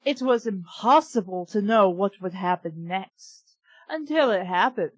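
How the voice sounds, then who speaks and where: A woman hosting a comedy reading of fan fiction, alternating deadpan narration with sarcastic asides and giggles. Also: medium pitch, measured pace, no room sound. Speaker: reader and podcast host. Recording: dull lo-fi sound.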